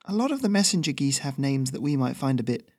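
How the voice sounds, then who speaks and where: A man's voice: sing-song, quick pace, darkish tone. A man voicing a cheeky young male courier who rides a messenger goose, talking in a breezy, teasing way. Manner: breezy, teasing, high-spirited.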